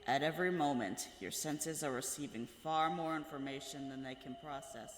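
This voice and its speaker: A man playing a grave lecturer in a comic dub, speaking in a measured, instructive way. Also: fairly high pitch, background noise, natural voice. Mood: solemn lecturing. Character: grave male lecturer on the senses. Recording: echoey hall, crowd murmur behind.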